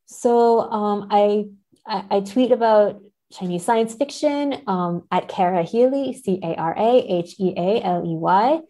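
A woman's voice: medium pitch, measured pace, dark tone. A woman speaking as an academic guest in a casual podcast interview.

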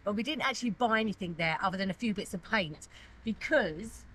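A woman's voice: medium pitch, a little fast, fairly bright tone, husky, poor audio. Female vlogger, 40s, mid-range voice, informal outdoor piece to camera. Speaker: renovation vlogger. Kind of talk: casual chat to camera. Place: outdoors in a store car park.

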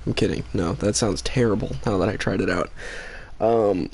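A man's voice: on the low side, normal speed, gravelly, a little mellow, husky. A tired young man live-streaming a game late at night, chatting casually with viewers in a rambling, laid-back way with lots of fillers. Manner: rambling, laid-back, many fillers, reads chat aloud. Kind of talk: casual live-stream chat while gaming.

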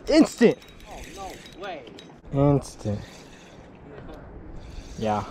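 A young man talks slowly and quietly while fishing at a mountain lake, admiring each catch as he goes. He is recorded outdoors on a body-worn action camera, with a steady hiss of water and wind behind his voice. His pitch sits in a middle range. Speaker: fishing vlogger. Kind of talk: casual fishing commentary.

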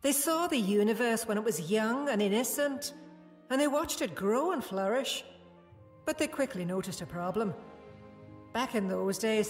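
A woman's voice: fairly high pitch, natural voice. A woman playing a stern female prison security guard who scolds and explains, with music behind. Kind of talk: fan audio drama acting. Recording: long reverb with music behind.

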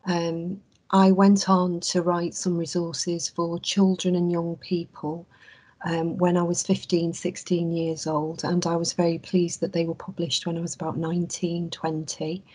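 A woman from England, a clinical psychologist, giving an earnest, measured webinar talk over a video call. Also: lowish voice, flat delivery, a little mellow, slightly rough, low-quality recording. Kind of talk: webinar presentation.

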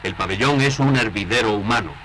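A man's voice: fairly low pitch, fairly quick, fairly bright tone. A man with a Castilian accent reading scripted 1960s newsreel narration in a formal, declamatory manner. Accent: Castilian Spanish. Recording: noisy vintage newsreel soundtrack.

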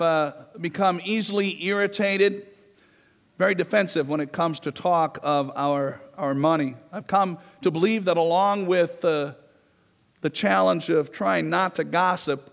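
Hoarse high-voiced pastor in a reverberant church. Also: male voice. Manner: conversational preaching, pacing the stage. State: losing his voice. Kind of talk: sermon. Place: large church sanctuary, indoors. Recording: long reverb of a church hall.

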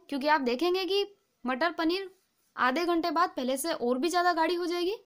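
A woman hosting a cooking channel, giving a calm, patient, step-by-step recipe voice-over. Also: sits high. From India.

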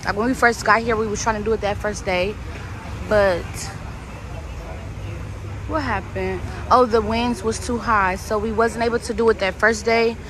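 Chatty young woman vlogging, slightly hoarse. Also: medium pitch, fairly even intonation, normal speed, noisy background. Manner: chatty, slangy, talking straight to viewers. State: recovering hoarse voice. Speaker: travel vlogger. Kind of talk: casual vlog talk to camera.

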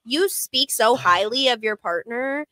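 A woman's voice: fairly high pitch, lively intonation, a little slow, sharp tone, dry recording. A woman on a podcast panel, playful and a bit hesitant, easily surprised. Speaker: podcast co-guest. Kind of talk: casual round-table chat. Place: podcast studio with several people at a table.

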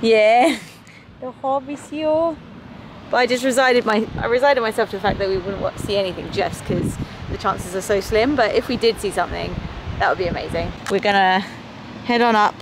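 A woman, chatting excitedly on a travel vlog outdoors by the coast. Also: medium pitch, normal speed, background noise. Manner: chatty, excited, thinking aloud. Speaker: travel vlogger. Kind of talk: casual travel vlog chat. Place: outdoors on a windy beach and harbor.

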